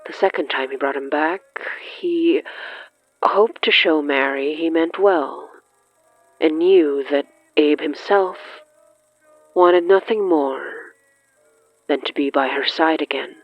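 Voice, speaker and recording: A narrator reading scripted dramatic horror fiction in a measured, deliberate way with dramatic pauses. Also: mid-range voice, dry recording, lo-fi sound. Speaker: narrator of an audio fiction podcast. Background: faint music.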